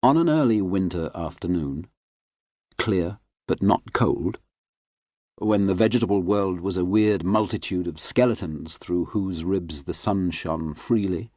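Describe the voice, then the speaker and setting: A man reading literary fiction as an audiobook narrator, in a measured, precise manner. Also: deep voice, a little mellow, no room sound, clean studio sound.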